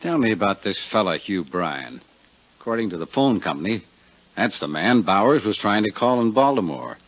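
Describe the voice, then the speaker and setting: A man's voice: lowish voice, very animated, normal speed. A man playing a blunt, sardonic, hard-pushing American police lieutenant in a 1950s radio detective drama. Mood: businesslike. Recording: muffled vintage 1950s radio recording.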